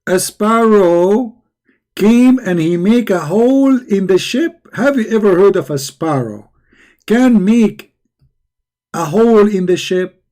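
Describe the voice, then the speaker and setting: A hoarse man who is losing his voice, giving a sarcastic, scornful commentary. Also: sits high, expressive, slow pace, darkish tone. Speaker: religious critic. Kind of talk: mocking video commentary.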